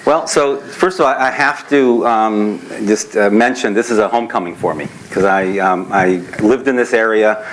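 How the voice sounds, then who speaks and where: A man's voice: on the low side, slight background noise, low-quality recording. Older man recounting his life story. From California, US. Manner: fluent, measured recounting. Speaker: panelist. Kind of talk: storytelling on a panel. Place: conference hall.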